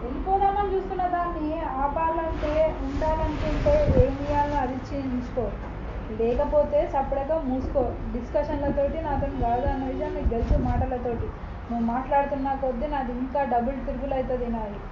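A woman's voice: high voice, steady tone, brisk. A young woman arguing privately on the phone in an exasperated, accusatory, insistent way. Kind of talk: heated private phone argument. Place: roomy space, recorded phone conversation. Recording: noisy, roomy, muffled leaked phone-call recording.

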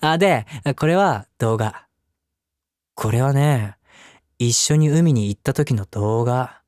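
A man acting as a devoted young husband, speaking gently and intimately to the listener as his wife in an audio drama. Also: medium pitch, lively intonation, slow, clear, resonant tone, no room sound, studio quality. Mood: playful, teasing. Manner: gentle, affectionate, softly chuckling between phrases. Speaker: voice actor. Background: background music.

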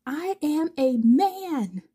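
A woman's voice: sits high, animated, extremely slow, dark tone, pitched above the performer's own voice, heavily acted. A woman voicing an indignant, easily flustered young male hero with wings, protesting emphatically. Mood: exasperated.

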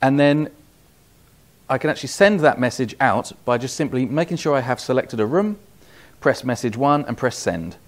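A man's voice: mid-range voice, animated, normal speed. A man in his forties with a British accent giving a methodical, step-by-step product demonstration. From United Kingdom. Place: small office demo room, indoors.

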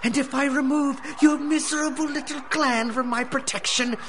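High-voiced, sneering villain overlord. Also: male voice, fairly even intonation, normal speed, a bit bright, shouting, slight background noise. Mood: taunting threat. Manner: sneering, grandiose, domineering. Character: menacing male crime boss, the Rat Lord, cruel and theatrical. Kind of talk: 1930s-style radio drama acting.

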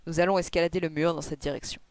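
A reader putting on a brisk, commanding gentleman detective from a classic storybook. He is curt and assertive, sure of every decision, recorded dry and close. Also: medium pitch, little pitch movement, clean tone, dry recording, close to an everyday voice. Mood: urgent, commanding.

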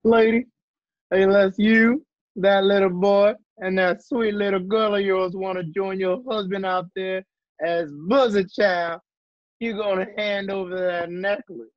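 An actor playing a menacing gun-toting bandit in a Western radio drama, deliberate and threatening. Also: male voice, high-pitched, steady tone, no room sound, dull audio.

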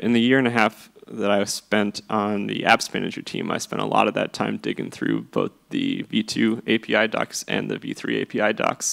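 A man's voice: on the low side, gravelly, a bit bright. A man speaking on a conference panel with a handheld mic, hesitant, with many fillers. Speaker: panelist, software engineer. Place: large conference hall with audience.

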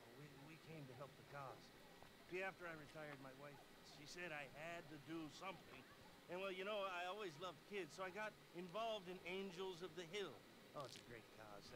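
A man's voice: fairly high pitch, noisy background, lightly acted. A man voicing a friendly middle-aged retired small-town cop in a game, chatty and rambling, with an American accent. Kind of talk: video game cutscene dialogue.

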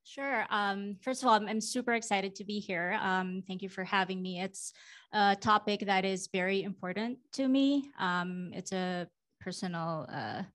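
Filipino-born woman, 40s, conference panel guest, thoughtful and halting. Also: mid-range voice. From Philippines. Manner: warm, reflective, many ums. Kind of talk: fireside chat on stage. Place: conference stage before a live audience. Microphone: handheld mic.

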